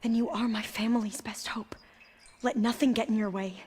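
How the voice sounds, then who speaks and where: A woman's voice: on the high side, fairly even intonation, a little mellow, lightly acted. Woman acting devout, earnest expedition member, faint noise. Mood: urgent, earnest. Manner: formal, measured, reverent. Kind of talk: dramatic video game acting.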